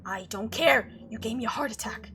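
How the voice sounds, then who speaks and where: A woman's voice: on the high side, brisk, bright, forceful tone, slight background noise. A woman voicing a sour, curt but worried cousin in a spooky visual novel, with a brusque, scolding manner. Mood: relieved, scolding. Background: faint game music.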